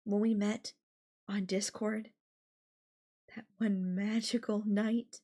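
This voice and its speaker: A woman playing an obsessive, possessive girlfriend in an intimate audio roleplay, sweet and coaxing with a menacing edge and lingering pauses. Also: medium pitch, level tone, warm tone. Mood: confiding, nostalgic.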